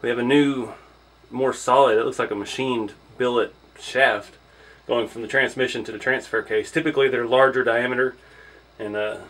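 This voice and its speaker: A man's voice: mid-range voice, fairly even intonation, moderate pace, fairly bright tone. A man giving an informal hands-on first look as a hobby reviewer, thinking aloud as he goes. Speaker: hobby vlogger reviewing an RC truck.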